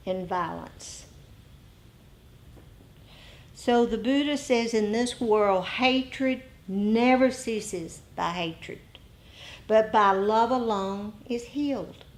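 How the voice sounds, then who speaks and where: A woman meditation teacher giving a dharma talk to a group, speaking deliberately in short phrases with rhetorical questions. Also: mid-range voice.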